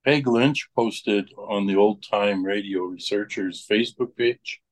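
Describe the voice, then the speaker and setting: A man's voice: fairly low pitch, unhurried. A man talking in a casual conversational style. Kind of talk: casual chat.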